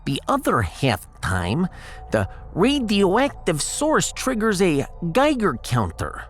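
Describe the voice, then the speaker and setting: A man's voice: high-pitched, wildly swooping pitch, slow pace, faint music behind, heavily acted. A man voicing a haughty, eccentric Russian woman scientist in a science fiction audiodrama, lecturing and teasing with a Russian accent and dropped articles.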